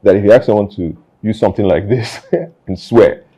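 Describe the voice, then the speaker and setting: A man hosting an interview, speaking thoughtfully and haltingly, with many fillers and restarts. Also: lowish voice, warm tone, breathy edge. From Nigeria. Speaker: podcast host. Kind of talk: reflective conversational interview.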